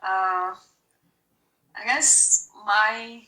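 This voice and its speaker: A young woman from Cameroon, a guest researcher answering interview questions in a measured way. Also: mid-range voice, pushed and bright. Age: young adult. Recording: echoey, distant remote call audio.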